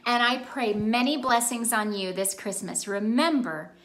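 A woman in her thirties reading a storybook aloud to children in an animated, expressive way with emphatic phrasing. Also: on the high side, unhurried. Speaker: children's ministry read-aloud host. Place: small indoor room by a Christmas tree. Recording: roomy indoor echo.

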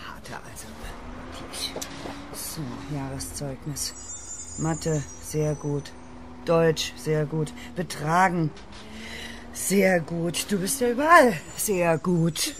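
A woman playing a blunt, colourful, plain-spoken mother in a radio play, with an expressive, exasperated manner. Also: low-pitched, slow, a little hiss or hum. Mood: puzzled, wry.

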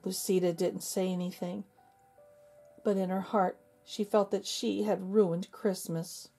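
A middle-aged woman hosting a children's read-aloud, narrating in an expressive, deliberate, teacherly way. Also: medium pitch, creaky, slightly rough. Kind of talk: storybook narration. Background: music.

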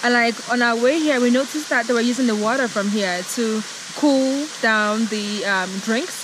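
A woman's voice: fairly high pitch, normal speed, noisy background. Breathless young woman vlogging a hike. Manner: chatty, laughing, out of breath on a hike. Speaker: travel vlogger. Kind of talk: casual travel vlog. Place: outdoors on a mountain trail by a waterfall. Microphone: camera held at arm's length.

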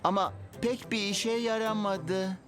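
An eager, naive cartoon railcar speaks in a very high voice. He sounds earnest and wistful, with loud music underneath. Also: steady tone, a little slow. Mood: apologetic. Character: eager, naive male propeller railcar longing to fly.

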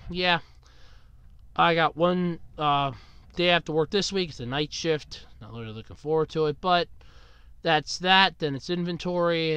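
A man talking through a solo podcast in a rambling, casual way, still getting over a cold. His voice is bright and smooth, and it jumps around a lot in pitch. The sound is close and dry, with no room around it. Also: dry recording. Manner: rambling, chatty, swings up and down in pitch. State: recovering from a cold. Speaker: podcast host.

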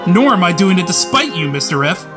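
Brooding, self-pitying young male killer, acted by a man, higher-pitched, intense comic-dub monologues over loud music. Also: big pitch swings, fairly quick. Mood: weary, bitter. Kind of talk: dramatic comic-book dub. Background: music under the voice.

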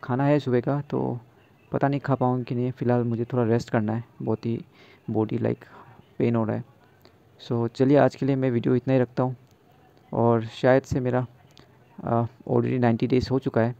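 A man's voice: mid-range voice, flat delivery, moderate pace, gravelly, pauses often, warm tone, lo-fi sound. A young man in a casual daily vlog, chatting tiredly at home with fillers and English words mixed in, speaking into earphone mics. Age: young adult. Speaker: vlogger. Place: small room at home. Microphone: wired earphone mic.

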